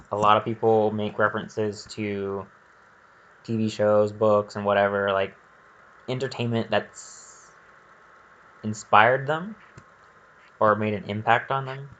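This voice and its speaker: A man's voice: on the low side, a bit bright, dull audio. A man giving casual, rambling gameplay commentary, thinking aloud in a candid and hesitant way. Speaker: gaming video creator.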